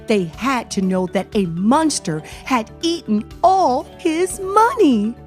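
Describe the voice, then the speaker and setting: Female children's storybook narrator. Slow, expressive delivery with a highly varied pitch, over a music bed. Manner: animated, deliberate, addressing young listeners. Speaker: children's read-aloud show host and narrator.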